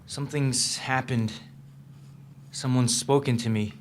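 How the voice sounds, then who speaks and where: A man's voice: medium pitch, steady tone, unhurried, natural voice. Man acting troubled, guarded man, noisy live recording. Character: troubled, guarded man confiding in his girlfriend, haunted by past. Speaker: actor in a staged reading. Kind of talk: dramatic stage reading of a novel.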